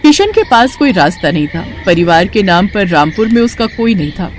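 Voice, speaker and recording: A woman's voice: medium pitch, big pitch swings, moderate pace. A woman reading scripted narration for a moral cartoon story.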